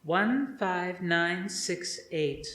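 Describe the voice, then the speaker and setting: A man's voice: sits high, lively intonation, drawn-out words, pushed and bright, voice pushed up, full character voice. An audiobook computer intelligence, cold and haughty, played by a man in a raised, girlish voice. The words come formal, precise and condescending, with a roomy echo around them. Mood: flat, clipped.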